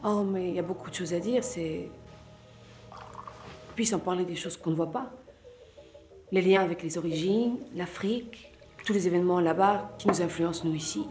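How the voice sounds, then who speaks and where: A woman's voice: fairly low pitch, moderate pace, pauses often, a little mellow, close to an everyday voice. An actress playing an earnest, reflective woman in naturalistic film dialogue. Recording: roomy interior, faint music.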